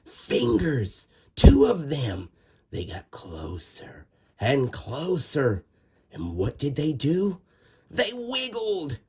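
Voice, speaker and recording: Slow, animated man reading a children's story. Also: fairly high pitch. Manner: expressive, dramatic pauses and drawn-out words. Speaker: storybook reader. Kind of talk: animated children's read-aloud narration.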